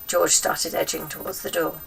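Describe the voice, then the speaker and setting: A woman narrating a children's story in an expressive, scripted reading style. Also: sits low, moderate pace, vocal fry, breathy edge. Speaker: storybook reader. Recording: slightly distant mic, faint noise.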